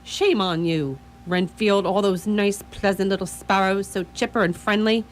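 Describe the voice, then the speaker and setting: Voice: medium pitch, fairly even intonation, moderate pace, slight background noise, close to an everyday voice. A performer playing a cheeky, vain, folksy servant in a radio play, scolding and easily flustered. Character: Swales, cheeky, chatty, vain servant attendant. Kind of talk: radio theatre acting.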